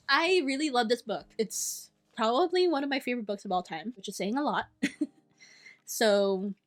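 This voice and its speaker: A young woman talking to camera about her summer reading list in a chatty, enthusiastic way, with quick asides and self-corrections. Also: fairly high pitch. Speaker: book vlogger. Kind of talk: casual chat to camera.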